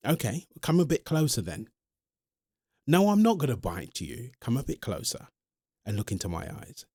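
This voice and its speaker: A man playing a centuries-old vampire boyfriend in an ASMR roleplay, exasperated but protective, speaking haltingly and pleadingly to the listener. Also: medium pitch, huge pitch swings, dark tone, breathy edge, no room sound, studio quality, heavily acted. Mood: reassuring, earnest. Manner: hesitant, halting, pleading, addresses listener directly.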